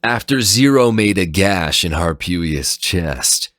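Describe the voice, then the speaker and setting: A man's voice: deep voice, lively intonation, slow, fairly bright tone, no echo. A man narrating a scripted dramatic fan fiction story.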